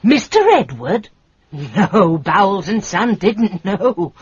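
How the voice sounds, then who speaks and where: An actress playing a chatty, fluttery older London landlady with a husky voice and a Cockney accent in a radio detective drama. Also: mid-range voice, expressive, slow pace, dry recording, heavily acted. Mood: gossipy. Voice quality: husky, breathy. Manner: gossipy, rambling, motherly. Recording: muffled radio recording.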